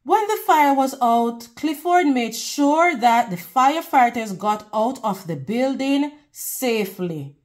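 A woman reading a picture book aloud to children in a teacherly way, pausing to prompt them with questions. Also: on the high side, expressive, darkish tone. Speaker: read-aloud host.

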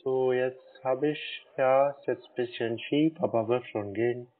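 A man speaks slowly and haltingly over a dull, narrow phone line. He dithers like a muddled pensioner and repeats himself, at an ordinary male pitch. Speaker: scam baiter playing a gullible customer. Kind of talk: phone call, slow put-on pensioner act. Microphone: phone call.

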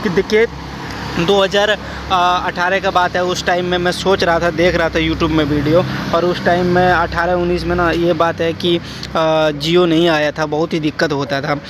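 Young male motovlogger, rambling commentary while riding, monotone, wind and road noise. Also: on the high side, normal speed. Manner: rambling, chatty, addresses viewers as guys. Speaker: moto vlogger. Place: outdoors on a motorcycle on rural roads. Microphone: helmet-mounted action camera.

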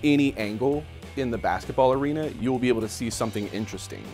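A man's voice: mid-range voice, quick pace, a little mellow. A man answering interview questions as a marching band director. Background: faint music.

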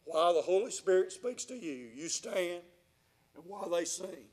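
A man's voice: high-pitched, expressive, normal speed, a little mellow, soft background music. A man preaching earnestly and plainly as a pastor, repeating phrases for emphasis. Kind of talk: evangelical sermon and church address. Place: large church sanctuary, indoors.

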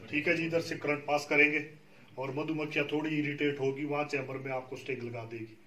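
A man's voice: a little high, flat delivery, brisk, pushed and bright. A man explaining beekeeping patiently to a group of farmers, often checking that they follow. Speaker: beekeeping trainer. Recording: echoey room, far from the mic.

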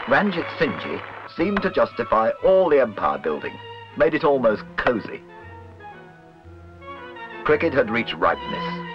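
A man reading scripted documentary narration in a measured, literary, wry manner. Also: fairly low pitch. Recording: dull old film soundtrack, music behind.

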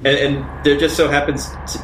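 A man's voice: on the high side, level tone, moderate pace, very noisy. A man playing in a tabletop roleplaying game, improvising casually in a dry, offhand way. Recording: roomy sound under loud guitar music.

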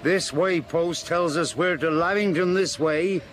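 High-voiced, brusque storm-soaked sailor. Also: male voice, a little slow, a bit bright. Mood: brusque, wary. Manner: archaic rustic speech, brusque. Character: gruff, brusque old-time sailor tramping through a storm. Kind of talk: radio drama acting. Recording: stormy background noise. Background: storm sound effects.

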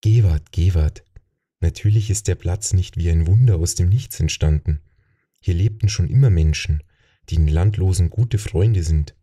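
A man's voice: deep voice, normal speed, mellow, slightly rough, far from the mic. A man voicing a kind, reassuring woman companion in a fantasy audiobook. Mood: amused. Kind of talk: audiobook character voice.

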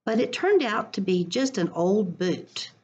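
A middle-aged woman reading a story aloud to children in a deliberate, expressive way, recorded on a computer at home. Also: mid-range voice, slightly rough, dull audio. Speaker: school educator reading to children. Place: living room at home. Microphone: computer webcam at arm's length.

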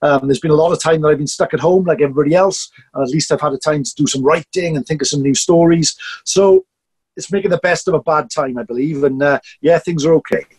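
Husky middle-aged Welsh man, dry close sound. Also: fairly high pitch, expressive, fast, a little mellow, no room sound. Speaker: podcast guest, performance storyteller. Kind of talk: casual interview chat.

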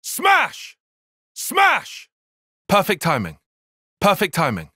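Brainy young male fighter, video game battle barks, forceful bright tone, wide swings in pitch, clipped commands. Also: huge pitch swings, takes it slowly, no room sound, clean studio sound, full character voice. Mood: battle shouting. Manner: clipped, commanding short call-outs. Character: brainy young male tactician fighter, composed but intense.

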